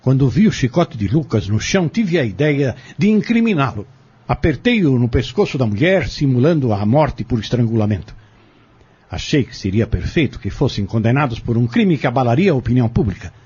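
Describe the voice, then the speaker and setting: A slightly dull recording of an actor as a vengeful male schemer on the witness stand. The voice is very raspy and mid-pitched, swinging widely as he coldly lays out his plot in an audio drama. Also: big pitch swings, a little fast, dark tone. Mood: cold, bitter.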